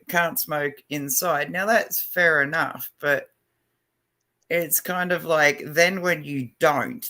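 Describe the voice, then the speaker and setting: Bright-voiced man, casual chat. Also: a little high. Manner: loose and profane, with frequent fillers and laughs.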